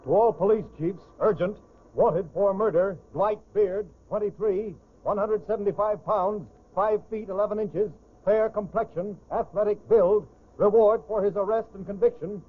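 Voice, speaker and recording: A tinny vintage radio recording of an American police dispatcher. The voice is very high for a man, urgent and official, each phrase clipped. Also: moderate pace, long pauses. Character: urgent male police bulletin announcer. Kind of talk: 1940s radio bulletin, clipped reading. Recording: tinny, band-limited old radio recording.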